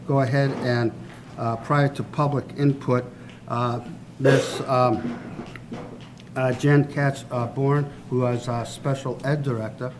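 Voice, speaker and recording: A man chairing a school board meeting, speaking haltingly with many uhs and restarts. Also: medium pitch, steady tone. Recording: noisy meeting-room recording.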